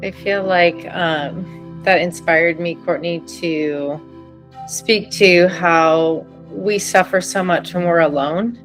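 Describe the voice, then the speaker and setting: A woman's voice: fairly low pitch, steady tone, takes it slowly, soft background music. A woman, a helping professional, speaking candidly and earnestly about her own experience in a casual panel chat. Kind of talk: casual reflective conversation.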